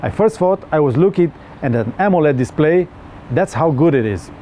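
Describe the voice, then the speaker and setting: A man's voice: fairly high pitch, lively intonation, normal speed, warm tone, slight background noise. A young man with a Romanian accent, giving an earnest, slightly stilted scripted review of a phone with small jokes. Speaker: tech reviewer.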